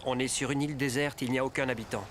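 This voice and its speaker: A medium-pitched voice acted by a man for a cartoon traveller. He speaks in a hesitant, measured way, with faint ambience behind. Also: steady tone, background noise, close to an everyday voice. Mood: worried. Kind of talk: French cartoon dub.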